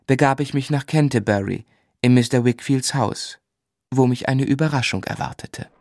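An actor playing an earnest, ardent young gentleman who also narrates a radio play, in a literate, romantic manner. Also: medium pitch, normal speed, no room sound, clean studio sound, close to an everyday voice. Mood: reflective.

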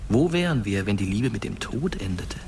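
A brooding, low-voiced dead teenage boy. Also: big pitch swings, normal speed, gravelly, darkish tone, a little hiss or hum. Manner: reflective, rhetorical questions. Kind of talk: German radio drama narration, intimate monologue. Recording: music under the voice. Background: prominent music.

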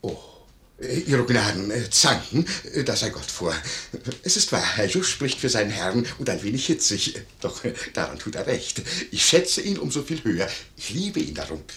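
Fawning innkeeper, low voice with wild swoops, stammering, wheedling, flustered, old radio play. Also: male voice, big pitch swings, moderate pace, a bit bright, a little hiss or hum. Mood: flustered placating. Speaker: radio drama actor. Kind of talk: 1950s German radio play acting.

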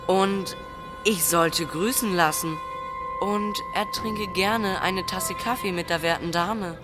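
A woman's voice: on the low side, takes it slowly, loud music behind the voice. A woman playing a cunning thirteen-year-old boy in a radio play, coolly insistent and mock-polite. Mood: sly, mock-polite. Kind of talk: German radio play acting.

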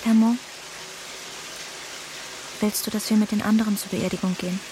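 An actress playing a blunt, worried woman who looks after a broken man, speaking directly in a radio drama with loud music behind her. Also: mid-range voice, steady tone, moderate pace, noisy background, natural voice. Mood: earnest.

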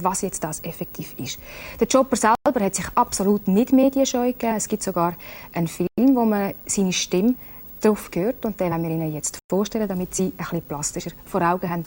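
A woman hosts a radio magazine with a Swiss German accent. Her pitch sits in the middle for a woman, and she presents as one introducing reports to listeners. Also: darkish tone. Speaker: radio host.

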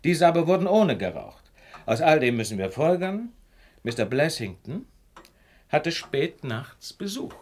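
An actor playing a brilliant detective in a German radio play, precise and didactic, with ironic, condescending politeness. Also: male voice, fairly high pitch, big pitch swings, a little slow, a little mellow, exaggerated voice. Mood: emphatic, lecturing. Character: brilliant male detective, superior, calmly lecturing, ironic.

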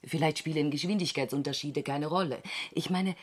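A moon station crew member in a science fiction radio play. Her voice is fairly low and husky. She reasons analytically, with an excitable, questioning edge. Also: brisk. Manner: analytical, exasperated at times.

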